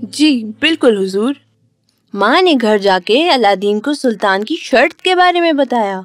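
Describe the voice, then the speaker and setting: A woman's voice: fairly high pitch, expressive, soft background music. A woman narrator reading a scripted fairy tale for children.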